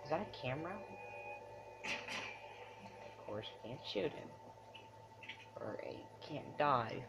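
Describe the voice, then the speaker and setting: A man's voice: medium pitch, animated, slow, vocal fry. A young man giving casual, exclamatory, rambling commentary as he plays a video game. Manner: rambling, exclamatory, mild slang. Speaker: let's play gamer. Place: room in front of a TV screen. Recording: dull lo-fi camera mic, loud game audio. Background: game music and sound.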